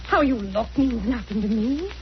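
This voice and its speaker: A woman's voice: on the high side, expressive, moderate pace, a little hiss or hum, natural voice. An actress playing an earnest, tender young woman in love in a 1940s radio drama. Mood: tender, confessing. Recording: muffled vintage radio recording.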